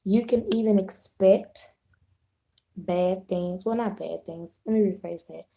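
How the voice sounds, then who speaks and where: A hoarse woman with a sore throat, hosting a casual live video chat with her viewers, rambling and restarting her sentences. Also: medium pitch, dark tone. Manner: unhurried, rambling, clears throat, frequent restarts.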